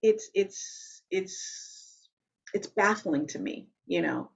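A woman in her forties with a deep, loud voice, talking straight to the camera in an emphatic, conversational way and often checking in with "okay?". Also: huge pitch swings, very slow, highs cut off. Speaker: vlogger. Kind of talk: direct-to-camera talk. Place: small room at home.

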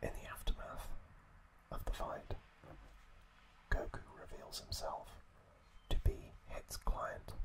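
A man speaks entirely in a whisper, at a very unhurried pace. He is an ASMR host recapping a story, breaking it into short phrases with hesitant pauses. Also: poor audio.

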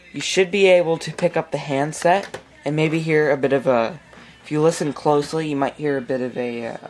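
Male hobbyist presenter, middle register, informal tutorial, thinking aloud at a workbench indoors. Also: medium pitch. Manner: halting, thinking aloud while working. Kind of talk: casual hands-on tutorial. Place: small indoor room at a workbench.

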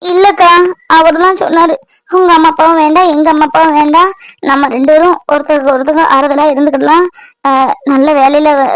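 A woman's voice: high-pitched, no room sound, close to an everyday voice, low-quality recording. A woman voicing a frightened young wife who cries and pleads with an older friend. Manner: tearful, trembling, pleading. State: crying. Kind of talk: Tamil domestic audio drama.

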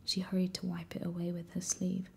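A woman reading a first-person story aloud in a steady, hushed whisper. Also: on the low side, brisk, vocal fry. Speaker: audiobook reader.

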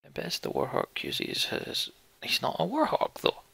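A man's voice: on the high side, huge pitch swings, unhurried, gravelly, pushed and bright, breathy edge, dry recording. A man doing casual game commentary as a let's play host, muttering and thinking aloud to himself.

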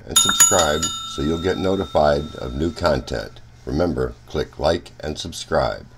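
A man hosting a children's story channel, giving an upbeat introduction in a husky voice. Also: a bass voice, measured pace, a bit bright, a little hiss or hum.

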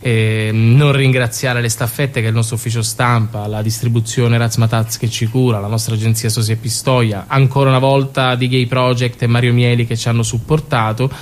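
A man's voice: mid-range voice, level tone, fairly quick, hardly any pauses. Man chatting as a theatre interview guest. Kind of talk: conversational radio interview.

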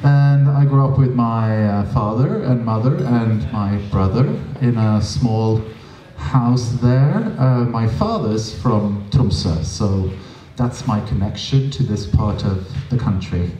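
A man's voice: mid-range voice, dark tone. A Norwegian man telling stories as an interview guest on a small stage, chatty and drily funny, with many fillers, into a handheld mic. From Norway. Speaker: interview guest, drag performer. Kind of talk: casual storytelling interview. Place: small stage with audience, indoors. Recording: roomy, from a distance.

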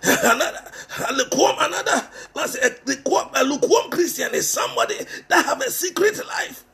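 A middle-aged Ghanaian-accented preacher delivers a fervent sermon through a headset mic in a small room, his voice pitched very high and swinging widely. A gravelly fry runs through it, and he hammers his points home by repeating them. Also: lively intonation, brisk, pushed and bright. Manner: impassioned, repeats phrases for emphasis, switches between English and Twi.

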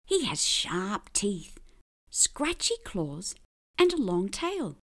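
Very animated woman reading a picture book. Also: medium pitch. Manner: animated, deliberate, emphatic storytelling. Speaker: children's book reader. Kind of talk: expressive picture-book read-aloud.

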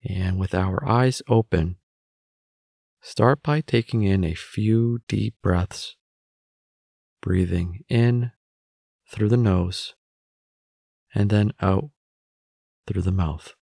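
Guy doing guided meditation, lots of fry in the voice, super dry close sound, measured short phrases. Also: deep voice, mellow, no room sound. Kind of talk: guided meditation narration, instructional.

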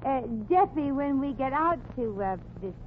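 An actress playing a brassy, flirtatious, quick-witted showgirl in a 1940s radio comedy. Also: high-pitched, lively intonation. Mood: coy, flirtatious. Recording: muffled, noisy 1940s radio broadcast recording.

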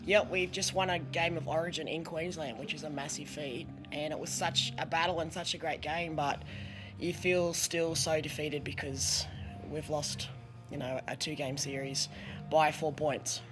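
A middle-aged Australian woman coaching a team, answering press questions earnestly with many pauses, her voice going hoarse. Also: deep voice, fairly even intonation, over music. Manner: earnest, halting with many ums, a little hoarse. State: losing her voice. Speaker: head coach at a press conference. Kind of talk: post-match press conference answers. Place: press conference room with sponsor backdrop. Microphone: desk microphone.

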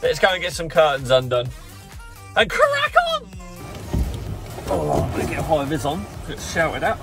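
A man's voice: on the high side, wildly swooping pitch, moderate pace, loud music behind the voice. A man, chatting casually to viewers as he drives his truck and loads it in a yard. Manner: chatty, playful, talks to viewers as he works. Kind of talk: casual vlog commentary while driving and loading. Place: truck cab and open loading yard.

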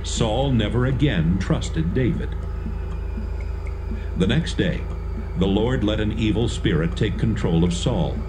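A man's voice: fairly low pitch, expressive, slow, long pauses, background noise. A man reading scripted dramatic narration as the narrator of an audio Bible. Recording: music behind the voice.

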